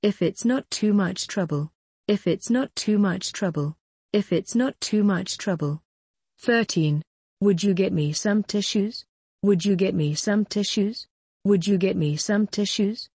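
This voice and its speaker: A woman's voice: mid-range voice, a little slow, darkish tone, slightly rough, no echo. A woman teaching English phrases in a drill, speaking carefully and repeating each phrase several times. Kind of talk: language drill narration.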